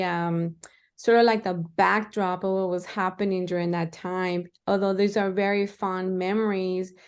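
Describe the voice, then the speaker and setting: A woman's voice: medium pitch, clear, resonant tone. A woman in her fifties, raised in El Salvador, telling childhood stories as a guest in a live podcast interview, with a reflective, conversational manner and a few Spanish phrases. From Los Angeles, grew up in El Salvador.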